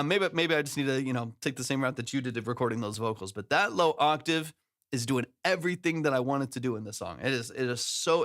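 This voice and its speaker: A man's voice: medium pitch, a bit bright. A man, a music producer, giving enthusiastic feedback on a song during a casual livestream, praising it often and restarting his sentences.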